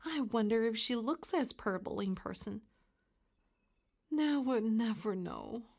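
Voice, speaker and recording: A woman's voice: a little high, expressive, slow, gravelly, a little mellow, exaggerated voice. A woman voicing eager, impatient little twin boys at a party in a children's story. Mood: disappointed.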